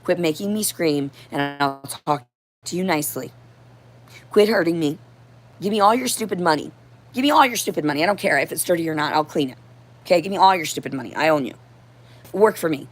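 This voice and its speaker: A woman with a hoarse voice giving a rambling, unscripted livestream monologue in a confrontational, profane and disjointed manner. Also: sits low, animated, fairly quick, pauses often. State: hoarse throat. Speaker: livestream host.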